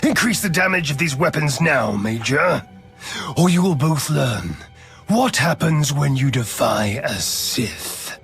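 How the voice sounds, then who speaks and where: An actor playing an arrogant, power-hungry Sith lord in a video game, speaking in a formal, imperious and deliberate manner. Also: male voice, fairly high pitch, animated, creaky, fairly bright tone, faint music behind, full character voice. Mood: menacing. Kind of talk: dramatic video game voice acting.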